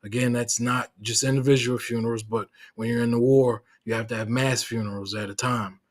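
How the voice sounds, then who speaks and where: Vet guy on a call-in interview, slow and careful, lots of ums. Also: mid-range voice, fairly even intonation. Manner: measured, many fillers and restarts. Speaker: guest, Marine veteran. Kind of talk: casual interview.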